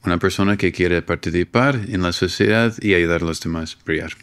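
A man's voice: low-pitched, brisk, fairly bright tone, smooth. A middle-aged man from Minnesota with an American accent, speaking Spanish as a second language, earnestly answering interview questions. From United States, Minnesota. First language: English. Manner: earnest, searching for words in Spanish. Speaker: guest, homeless outreach worker. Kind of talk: interview conversation.

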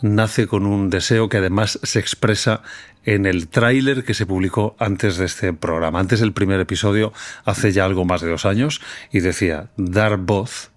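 A middle-aged man hosting a podcast, speaking in a slow, deliberate, reflective way. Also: low voice, steady tone. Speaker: podcast host. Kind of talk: reflective monologue and interview.

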